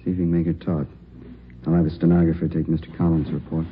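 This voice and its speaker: An actor playing a curt, authoritative police captain in a 1950s radio drama. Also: male voice, low-pitched, flat delivery, fast talker, natural voice. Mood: brisk. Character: brisk, commanding male police captain. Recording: noisy, tinny old radio recording.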